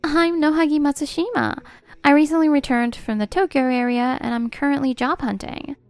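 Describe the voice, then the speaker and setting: Woman voicing a high, flustered young woman. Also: steady tone, fairly quick, a little mellow, no echo, natural voice. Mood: polite. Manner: apologetic, hesitant. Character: flustered, polite young woman job hunter. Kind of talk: manga story dub.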